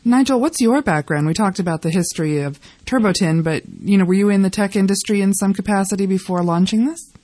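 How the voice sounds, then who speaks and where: A woman co-hosting a radio talk show, asking the guest questions and reading brisk station announcements. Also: medium pitch, darkish tone, dry recording. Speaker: radio co-host. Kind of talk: radio interview.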